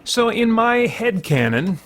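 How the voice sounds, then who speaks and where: A man's voice: sits high, very animated, slow, heavy background noise. A man giving casual gameplay commentary as a host, explaining his reasoning and thinking aloud as he goes. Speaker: host of a gaming video series.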